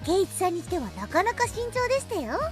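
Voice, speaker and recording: A cute anime schoolgirl from a visual novel game, with an extremely high, animated voice. The delivery is quick, in stylized game-acting fashion, over soft music. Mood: sly, polite.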